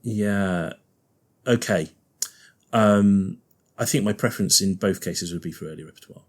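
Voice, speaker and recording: Husky Liverpudlian man, chatty. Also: deep voice, a little slow. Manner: chatty, laughs often, thinks aloud with restarts. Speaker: guest organist. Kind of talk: casual podcast chat.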